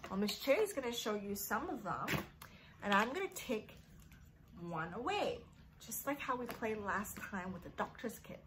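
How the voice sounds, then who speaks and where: A woman's voice: medium pitch, expressive, moderate pace, background noise. A woman hosting story time for young children, reading in a sing-song way with simple phrasing aimed directly at little listeners. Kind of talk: sing-song read-aloud of a picture book for young children.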